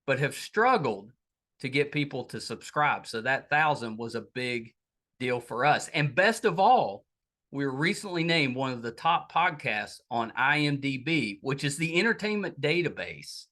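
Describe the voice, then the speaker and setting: A man's voice: mid-range voice, expressive, a little slow, pushed and bright. A middle-aged American man speaking as a podcast host, casually and earnestly thanking and appealing to his audience in a chatty way. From United States.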